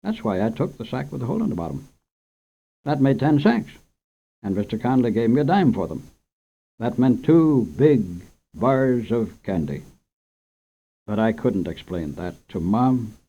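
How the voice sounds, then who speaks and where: A man reading a children's short story aloud for radio in a measured, gentle storytelling manner. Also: mid-range voice, sing-song, gravelly, husky. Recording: dull lo-fi old radio recording.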